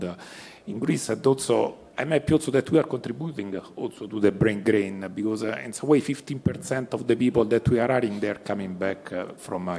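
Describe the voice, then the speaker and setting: Italian guy on a business panel, lowish voice, lots of uh fillers, not a native english speaker. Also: darkish tone. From Italy. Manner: hesitant, many fillers, non-native phrasing. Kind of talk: panel discussion. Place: club event hall.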